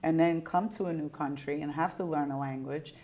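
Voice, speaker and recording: Casting: American woman podcast host, slightly low voice, animated and salty storyteller. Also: a little fast. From United States. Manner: animated storytelling, swearing, laughing. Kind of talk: casual interview chat.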